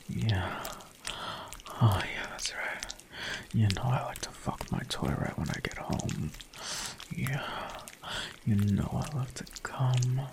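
A man performing a whispered erotic roleplay monologue in a dominant, husky manner with moans. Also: medium pitch.

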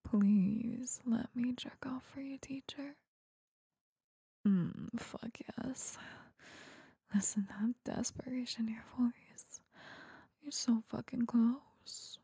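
Woman whispering like a strict bossy teacher, super breathy, slow, dry close sound. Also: fairly high pitch, warm tone, no room sound. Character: domineering female teacher. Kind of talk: whispered audio roleplay.

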